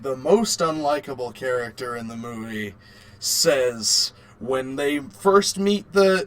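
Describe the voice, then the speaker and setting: A man co-hosting a casual film review chat, halting and repeating words as he argues his point. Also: medium pitch, a bit bright, low-quality recording. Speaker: podcast co-host.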